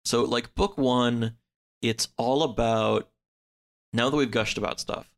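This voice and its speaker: A man in a casual podcast chat, recapping a story in a halting, hedging way. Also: mid-range voice, steady tone, gravelly. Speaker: podcast speaker discussing books.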